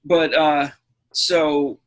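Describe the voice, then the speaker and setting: A man co-hosting a podcast, chatting casually and telling film anecdotes in an animated way, slipping into acted-out quotes. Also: on the high side, extremely slow, poor audio. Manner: animated storyteller who acts out quotes. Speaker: podcast co-host.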